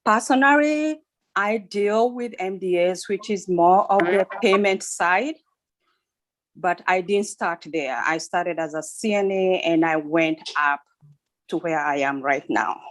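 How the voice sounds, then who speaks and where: A woman's voice: on the low side, measured pace. A middle-aged woman with a Kenyan accent, speaking informally as a nurse on a panel over a video call. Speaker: nurse and job fair panelist.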